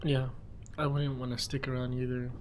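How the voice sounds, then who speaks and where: A man's voice: medium pitch, level tone, moderate pace, a little hiss or hum. A man narrating a story in a conversational, informal way with wry asides.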